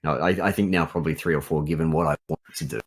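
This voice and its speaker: Australian man, extremely low and husky, thinking aloud in relaxed developer talk. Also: rapid-fire. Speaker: visual novel writer and developer. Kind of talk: casual development chat.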